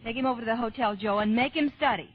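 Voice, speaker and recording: A woman playing a gracious, gently teasing vaudeville singer, wife and mother, in an old-time radio drama. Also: fairly high pitch, racing, close to an everyday voice. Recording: tinny old radio recording with music.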